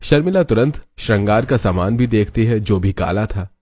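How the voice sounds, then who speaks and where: Low, mellow male story narrator. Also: lively intonation, normal speed. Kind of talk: scripted cartoon story narration.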